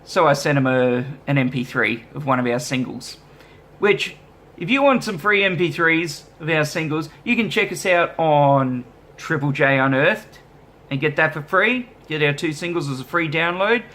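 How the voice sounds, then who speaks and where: Easygoing man, fairly high voice. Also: lively intonation, moderate pace, sharp tone. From Australia. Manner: easygoing, self-deprecating, rambling with fillers. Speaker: musician vlogger. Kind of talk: casual vlog chat answering viewer questions.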